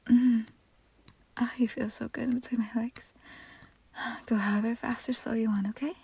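A woman speaking in a soft, breathy whisper in an intimate roleplay style, slow and soothing. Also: a little high, flat delivery.

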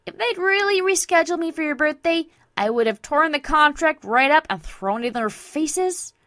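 A brash young cartoon mare, acted out by a woman narrator with a loud, exuberant delivery. She is playful and teasing, like a DJ character in an animated story. The sound is dry, with no room on it. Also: sits high, normal speed, dry recording, pitched above the performer's own voice. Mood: brash, reassuring. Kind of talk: fan fiction character voice.

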